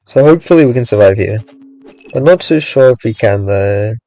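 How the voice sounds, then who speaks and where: A young man giving casual, rambling commentary on his own gameplay, thinking aloud with lots of "like"s and a voice gone a little raspy from hours of talking. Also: medium pitch, expressive, a little fast, warm tone, poor audio. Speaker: gaming streamer.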